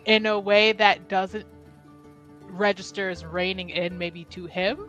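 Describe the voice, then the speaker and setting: A woman's voice: mid-range voice, steady tone, measured pace, a bit bright, dull audio, faint music behind. A woman playing in an online tabletop roleplaying game, laughing often and thinking aloud with hesitant questions. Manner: giggly, hesitant, talks through ideas. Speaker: player.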